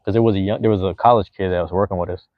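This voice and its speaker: A man's voice: low-pitched, fairly even intonation, rapid-fire, dry recording, lo-fi sound. A man in his thirties chatting casually as a podcast guest, rambling and slangy, swearing freely. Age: 30s. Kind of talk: casual chat.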